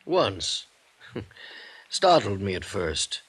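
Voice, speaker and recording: A cultured male doctor in a 1950s American radio drama. His voice sits fairly low. He is guarded and reflective, choosing his words with deliberate, thoughtful pauses. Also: very animated, fairly bright tone, slightly rough, heavily acted. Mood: wistful, impressed.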